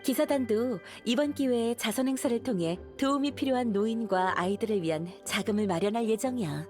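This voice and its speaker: A woman's voice: on the high side, brisk, darkish tone, soft background music, natural voice. A woman playing an earnest, dutiful young knight leader in an anime game, composed and formal as she explains. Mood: informative. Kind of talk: Korean anime game voice acting.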